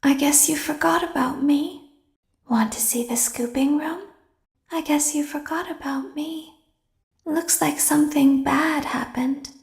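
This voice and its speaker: Cold, creaky-voiced animatronic. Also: female voice, sits high, fairly even intonation, unhurried. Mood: resentful. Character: cold, vengeful female animatronic, calmly cruel. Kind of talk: horror video game villain lines. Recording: echoing voice.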